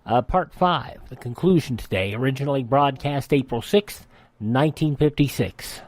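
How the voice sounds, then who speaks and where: A man's voice: medium pitch, moderate pace. A man hosting a classic radio show, talking informally and conversationally.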